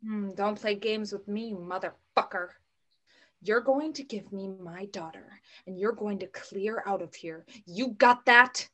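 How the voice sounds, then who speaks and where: A woman's voice: medium pitch, unhurried, a bit bright. Young woman acting a fierce protective mother. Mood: defiant, threatening. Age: young adult. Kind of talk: play reading over video call. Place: room at home. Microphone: computer mic on video call.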